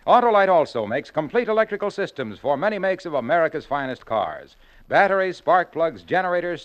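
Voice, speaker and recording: A man announcing and reading sponsor copy on a 1940s radio broadcast, polished and buoyant. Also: fairly high pitch. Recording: dull old radio broadcast with music.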